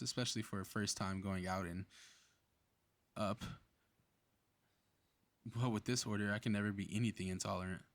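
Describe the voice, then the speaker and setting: A man playing a cocky, playful superhero in a flirty ASMR roleplay audio drama, with casual teasing banter. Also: lowish voice.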